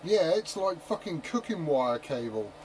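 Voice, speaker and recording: A man's voice: fairly high pitch, big pitch swings, a little slow, a little mellow. A man chatting casually and swearing freely over CB radio in slangy talk. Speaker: amateur CB radio operator. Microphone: CB radio set. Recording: noisy CB radio transmission, heavy static.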